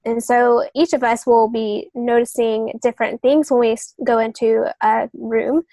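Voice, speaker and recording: Higher-pitched woman explaining, dry sound. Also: flat delivery, normal speed, dark tone, dry recording. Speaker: podcast co-host, young adult author. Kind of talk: casual explaining on a podcast.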